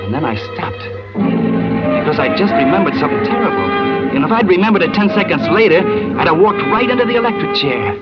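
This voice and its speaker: Jittery old-radio noir crook, loud music. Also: male voice, sits high, huge pitch swings, quick pace, lo-fi sound. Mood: excited, then dismayed. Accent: American. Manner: tough slangy talk, agitated. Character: scheming crook narrating his luck, bitter, jittery, cocky. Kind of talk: 1940s radio noir acting, first-person narration.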